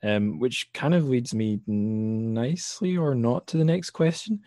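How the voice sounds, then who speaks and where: A man's voice: mid-range voice, a little slow, warm tone, no room sound, clean studio sound. A man hosting a podcast, discussing books casually and thinking aloud in a hesitant, self-correcting way. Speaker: podcast host.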